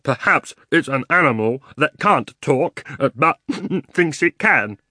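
A man's voice: fairly high pitch, expressive, slow pace, bright, forceful tone, no room sound, voice pushed up, full character voice. A man voicing an eager, silly donkey in a storybook, in a chirpy manner. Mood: bright. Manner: chirpy, hesitant.

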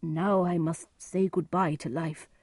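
A woman voicing a blind old nobleman who speaks gravely and emphatically in despair, in a storybook reading. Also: fairly low pitch, fairly even intonation, a little slow, a little mellow, no room sound. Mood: despairing, solemn.

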